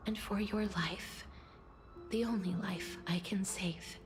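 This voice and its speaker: A woman voicing a gentle young demigod of death in a video game, softly and breathily, with a measured, solemn tenderness. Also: mid-range voice, steady tone, lightly acted. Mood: solemn, resolute. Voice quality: soft, breathy, whispery. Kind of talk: dramatic video game voice acting. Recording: music under the voice.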